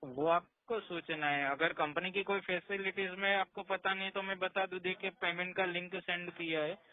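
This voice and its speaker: A man's voice: high voice, steady tone, moderate pace. A man working as a loan collection agent, explaining insistently and repeating himself on a phone call. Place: call centre, on a phone line. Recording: tinny, band-limited phone call recording.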